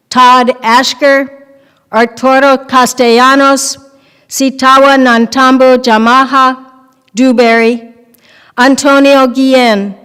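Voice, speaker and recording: An older woman doing a solemn, deliberate scripted reading from a lectern. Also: sits high, a bit bright, smooth. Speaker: reader in readers theater. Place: large temple hall, at lectern. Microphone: microphone on stand. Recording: echoey hall.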